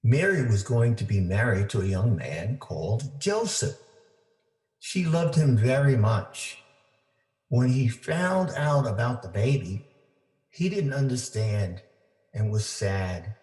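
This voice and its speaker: Slow-reading male storyteller in a roomy space. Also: mid-range voice, lively intonation, darkish tone. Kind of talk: read-aloud children's Christmas story. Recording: roomy, echoing indoor sound.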